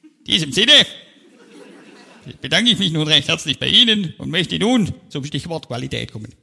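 A man's voice: fairly high pitch, lively intonation, moderate pace, vocal fry, bright, forceful tone, husky, heavily acted. A man playing a pompous, self-important speaker who gives a long-winded stage speech. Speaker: comedian on stage. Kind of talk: parody of a pompous official speech. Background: audience laughter.

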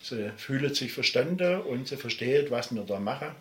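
A man's voice: mid-range voice, lively intonation, fairly quick, echoey room. A middle-aged man with a Swabian accent, talking passionately and at length as an interview guest. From Baden-Württemberg, Germany. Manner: passionate, emphatic, digressive. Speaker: musician guest. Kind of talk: interview talk.

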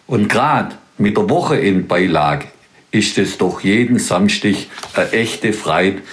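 A middle-aged man with a Swabian accent, reading his own humorous dialect column aloud in an unhurried, wry storytelling manner. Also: lowish voice, lively intonation. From Swabia, Germany.

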